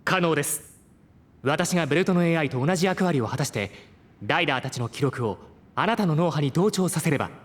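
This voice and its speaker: Obedient high-voiced AI assistant. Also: male voice, animated, fast. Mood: polite, dutiful. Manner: polite, concise, emphatic announcements. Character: obedient dream-coordinating AI assistant calling out hero gadgets. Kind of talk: Japanese superhero audio drama acting. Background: prominent music.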